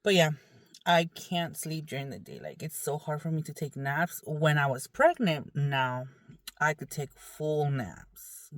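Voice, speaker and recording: A woman's voice: deep voice, lively intonation, a little slow, no echo. Woman chatting casually, very dry sound. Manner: rambling, candid, conversational. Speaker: vlogger. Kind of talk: casual chat to viewers.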